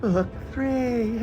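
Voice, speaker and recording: A woman announcing segment titles in short, drawn-out phrases, in a muffled lo-fi clip. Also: fairly high pitch.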